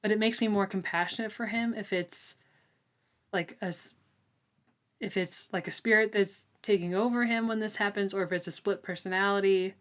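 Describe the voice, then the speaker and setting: A woman co-hosting a relaxed, conversational book discussion. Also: mid-range voice, moderate pace. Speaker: book podcast co-host.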